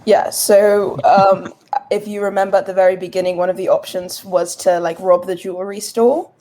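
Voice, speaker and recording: A woman's voice: mid-range voice, fairly even intonation, normal speed, warm tone. A woman chatting casually as a tabletop game player, informal and peppering her sentences with "like". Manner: informal, heavy use of "like". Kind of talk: casual chat.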